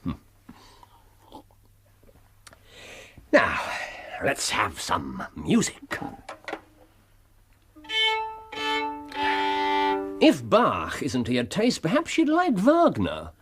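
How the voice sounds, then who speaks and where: An actor playing a brilliant, self-assured Victorian English detective in a radio drama, precise and teasingly amused in manner. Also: male voice, medium pitch, huge pitch swings, unhurried. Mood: amused, genial.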